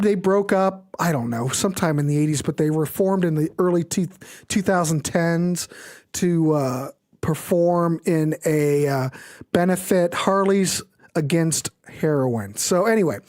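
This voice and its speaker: A man's voice: sits high, darkish tone. A man hosting a live music show in a casual, enthusiastic, rambling and informal way. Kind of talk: casual live stream commentary.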